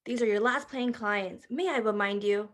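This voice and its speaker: A woman's voice: a little high, moderate pace, natural voice. A woman playing a disappointed, superficial, nagging lawyer's wife with a scolding manner in a table read. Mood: scornful.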